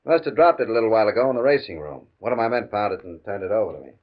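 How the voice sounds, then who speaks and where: An actor playing a smooth, menacing gambling boss in 1950 radio drama style, mock-polite, controlling and taunting. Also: male voice, on the low side, expressive, racing. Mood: smooth, sly. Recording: muffled old radio recording.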